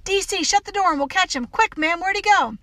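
Storybook part: gallant little country boy, voiced by a woman, Southern accent, high, earnest, well-mannered. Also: expressive, normal speed, pushed and bright, dry recording. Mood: urgent. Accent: American South. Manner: polite, eager, reassuring.

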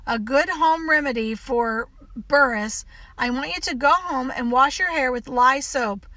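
A woman's voice: high voice, a little slow, bright, forceful tone, dry recording, rough recording. A woman voicing a prim, squeamish schoolteacher in an audiobook story, proper and fussy in manner. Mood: prim, polite.

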